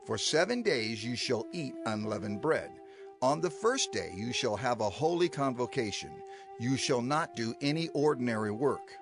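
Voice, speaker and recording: A man giving a solemn, declarative dramatized reading of scripture in measured phrases. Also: medium pitch, lively intonation. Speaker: scripture reader. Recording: clear music bed behind voice.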